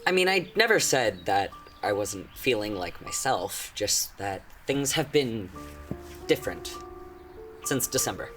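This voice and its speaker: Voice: mid-range voice, big pitch swings, measured pace, fairly bright tone, faint music behind. An actor playing a smug, taunting old friend who has turned to a destructive power, speaking casually and with amusement in a horror drama. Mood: guarded. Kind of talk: conversational horror audio drama acting.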